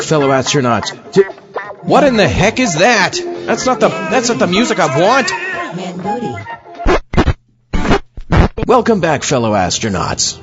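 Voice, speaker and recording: Man as a goofy ghoulish host, swooping pitch. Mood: flustered. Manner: rambling, theatrical, goofy. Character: quirky macabre astronaut horror host, goofy and ghoulish. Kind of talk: comic horror podcast hosting. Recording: dull audio with faint music.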